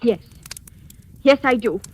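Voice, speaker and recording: An actress playing a frightened but defiant young captive in old radio suspense style, earnest and formal. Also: female voice, on the high side, expressive, slow pace, a little mellow. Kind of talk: 1940s radio suspense acting. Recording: noisy old-time radio recording.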